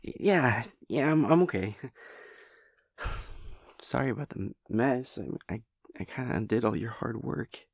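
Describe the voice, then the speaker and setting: A man's voice: medium pitch, expressive, unhurried, creaky, pauses often, no echo, studio quality. A man playing a shy, awkward young demon hunter in an anime audio drama, stammering, hesitant and apologetic. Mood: flustered, nervous.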